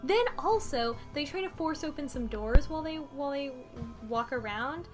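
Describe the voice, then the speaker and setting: A fairly high-voiced woman talking in a relaxed podcast chat. She is animated and exclaims often. Faint music plays under her voice. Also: normal speed. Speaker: podcast co-host. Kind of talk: casual chat recapping a visual novel.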